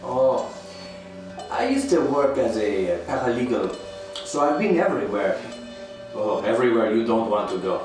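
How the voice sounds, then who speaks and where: A man's voice: medium pitch, very animated, normal speed, lightly acted. An actor playing an eccentric, overfriendly, shady man in an audio drama, chatty and cheerfully ominous. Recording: very echoey, distant, faint music behind.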